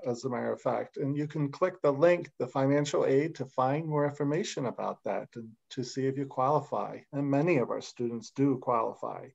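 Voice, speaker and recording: A man from the college staff speaks steadily on an online panel. His voice is gravelly. The video call audio sounds dull and lo-fi. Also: mid-range voice, darkish tone.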